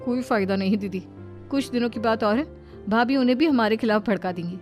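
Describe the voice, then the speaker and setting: A woman's voice: on the high side, brisk, darkish tone, soft background music, close to an everyday voice. A woman voicing a wronged, defiant young sister who protests indignantly in a cartoon family drama. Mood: resentful.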